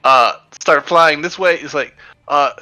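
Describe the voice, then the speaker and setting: A man's voice: on the high side, unhurried, sharp tone. A man playing a tabletop game over voice chat, talking casually with many fillers and hesitations. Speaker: tabletop player.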